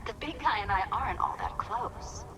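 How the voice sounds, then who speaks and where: An actress playing a flirtatious, playful cat burglar with a teasing, sultry manner. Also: female voice, fairly high pitch, normal speed, background noise, lightly acted.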